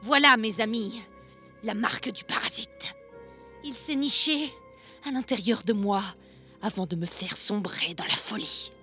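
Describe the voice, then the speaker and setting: A woman's voice: medium pitch, unhurried, pushed and bright, soft background music. Woman playing a sinister, theatrical messenger priest. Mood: dramatic confession. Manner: ominous, persuasive, theatrical. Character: sinister preaching messenger priest, grave man warning of plague.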